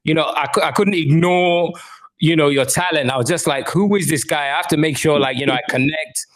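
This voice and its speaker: A man's voice: a little high, fairly quick, fairly bright tone. A man hosting a music podcast, opening with an upbeat, hype-style intro and now and then correcting himself. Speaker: podcast host.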